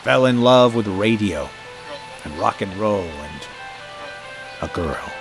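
A wistful man looks back on his youth as acted by a male performer in a radio drama. His low voice moves slowly in deliberate fragments, with music playing clearly behind it. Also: expressive. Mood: wistful, brooding. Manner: deliberate, broken phrases. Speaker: actor narrating an audio drama. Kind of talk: first-person scripted narration.

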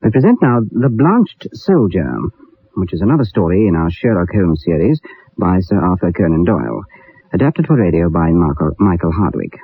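A man's voice: low-pitched, sing-song, normal speed, breathy edge. A man formally introducing a radio drama as its announcer. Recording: dull lo-fi old radio recording. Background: faint music.

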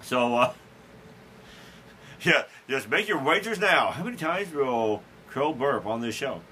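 A man hosts a casual podcast and tells a long, self-deprecating story about himself. His voice is bright and forward at an average pitch. He rambles, restarts his sentences and chuckles as he goes. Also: expressive, slow, slight background noise. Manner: frequent restarts and fillers. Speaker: podcast host.

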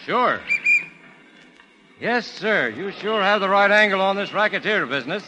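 An actor playing an eager, deferential studio helper in a 1930s radio drama. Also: male voice, high voice, lively intonation, unhurried, pushed and bright, exaggerated voice. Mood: eager, admiring. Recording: vintage 1930s radio recording, faint noise.

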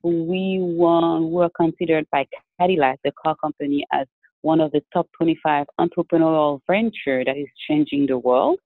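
A man from Haiti explains things at a measured pace and often restarts his sentences. His voice sits a little high for a man and has a gravelly fry. It comes over a dry, tinny, phone-like line. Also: dry recording. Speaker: guest entrepreneur. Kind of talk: interview answers.

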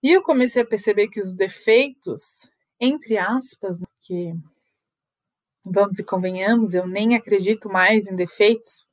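A woman's voice: mid-range voice, a little slow, no echo. A middle-aged woman, talking through a personal monologue in a chatty, confessional way with little laughs between thoughts. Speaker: podcast host.

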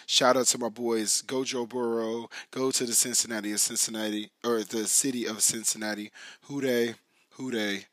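Casual male podcast host, mid pitch, voice hoarse and raspy, rambling delivery, dry close mic. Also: steady tone, normal speed, a bit bright, dry recording. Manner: loose and chatty, many fillers and restarts. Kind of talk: casual solo podcast talk.

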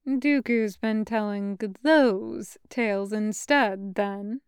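A woman voicing a composed, restrained Jedi man, flat and dryly understated, in a sci-fi audiobook. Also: mid-range voice, takes it slowly, clear, resonant tone, no room sound, studio quality.

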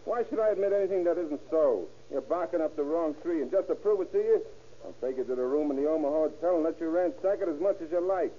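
A man acting a cocky American wise guy from a vintage radio crime show. His voice sits a little high, and he talks in defiant street slang through a thin, tinny old recording. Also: fast talker, warm tone, close to an everyday voice. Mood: defiant, indignant. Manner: slangy, tough-guy talk. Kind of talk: 1930s-40s radio crime drama acting.

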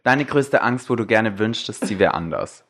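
Mann mit eher tiefer Stimme, knappe Einwürfe. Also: male voice, on the low side, animated, moderate pace, fairly bright tone. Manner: brief, pointed interjections and questions. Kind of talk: casual group chat.

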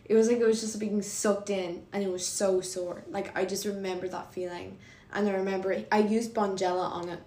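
A young adult woman co-hosting a podcast, telling stories in casual chat with many fillers and lively quoted asides. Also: mid-range voice, brisk. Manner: animated storyteller, many fillers. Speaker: podcast co-host. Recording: roomy echo.

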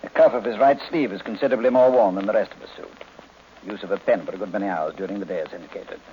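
A low-voiced, imperious older detective, played by a man, formal and supremely sure of himself. He lectures crankily in a vintage radio drama, on a muffled recording with background hiss. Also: racing, natural voice. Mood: confident, lecturing. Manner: pompous, formal, precise diction. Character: pompous, cranky older male master detective, supremely self-assured. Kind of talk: 1940s radio mystery acting.

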